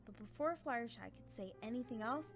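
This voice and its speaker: A woman's voice: on the high side, expressive, fairly quick. Woman narrating a story over faint music.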